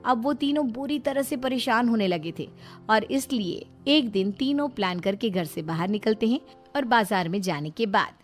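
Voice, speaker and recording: A woman's voice: fairly high pitch, expressive, normal speed. A woman reading scripted narration for a moral story, as a storyteller. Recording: music behind the voice. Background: background music.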